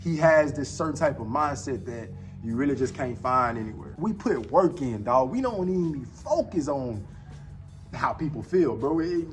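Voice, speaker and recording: A man's voice: medium pitch, expressive, moderate pace, faint music behind. A man in his twenties hosting a podcast in casual chat, laid-back and full of slang like "bro" and "dog.". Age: 20s. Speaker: podcast host.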